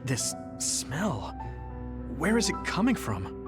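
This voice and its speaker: A young soldier from an action role-playing game. He sounds gentle and earnest, with a lightly raised pitch and lively movement in his voice. Music plays behind him. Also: takes it slowly, exaggerated voice. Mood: shocked. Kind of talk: video game cutscene acting.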